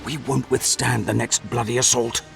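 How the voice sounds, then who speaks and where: A man's voice: medium pitch, level tone, moderate pace, vocal fry, soft background music. An actor playing a weary, sarcastic male commander holding a barricade. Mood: exasperated. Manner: sarcastic, exasperated.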